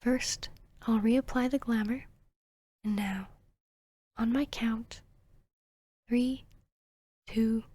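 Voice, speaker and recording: A woman playing an arrogant, cruel, seductive vampire queen in a hypnotic ASMR roleplay, teasing and commanding in a breathy whisper. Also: a little high, steady tone, extremely slow, long pauses, mellow, no echo, full character voice.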